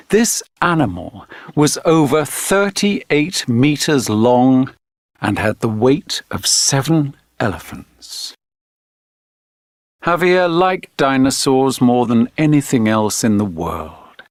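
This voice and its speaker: A man reading a children's read-along story as a narrator, deliberate and expressive. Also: medium pitch, dry recording, studio quality. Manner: deliberate, clearly phrased, expressive reading for learners. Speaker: textbook audio narrator.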